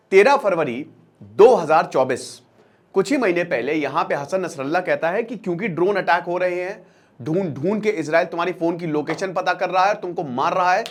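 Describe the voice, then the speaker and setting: Higher-pitched man, explainer host. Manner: animated colloquial storyteller, emphatic repetition, rhetorical questions. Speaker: online educator and channel host. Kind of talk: informal explainer lecture with storytelling.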